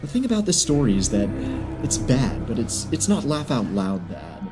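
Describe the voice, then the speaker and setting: A man's voice: medium pitch, huge pitch swings, normal speed. A man giving sarcastic, exasperated commentary as he narrates and critiques a story. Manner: sardonic, exasperated. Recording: music behind the voice.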